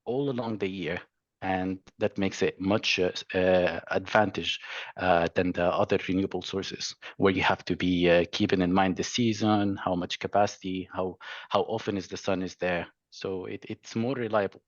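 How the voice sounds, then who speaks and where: A man's voice: sits low, steady tone, normal speed, no echo, lo-fi sound. A young adult man with an Egyptian accent, explaining things as a panelist. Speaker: panelist, geophysicist.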